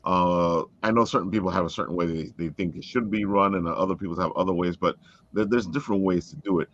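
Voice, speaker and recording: A man chatting casually as an interviewer on a podcast, with a halting delivery that often repeats words. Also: deep voice, steady tone, fairly bright tone, no room sound. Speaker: interviewer and fellow writer. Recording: dull, close, lo-fi call audio.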